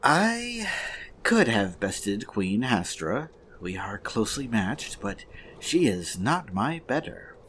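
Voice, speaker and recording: A man playing an earnest, solemn goblin warrior in a fantasy audio drama, speaking formally and deliberately. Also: on the low side, sing-song, fairly bright tone, a little hiss or hum, heavily acted. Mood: confessing, solemn. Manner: formal, deliberate, heartfelt declarations.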